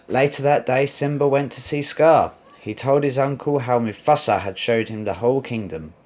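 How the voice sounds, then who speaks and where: Casting: male storybook narrator, mid-pitched, dramatic and expressive read-along delivery for children. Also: normal speed.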